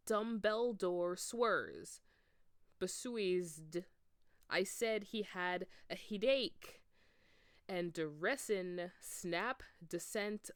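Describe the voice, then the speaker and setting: A woman's voice: medium pitch, clear, resonant tone, dry recording, studio quality. A woman playing a defensive teenage fan fiction author, indignant, drawing out the words in a story reading.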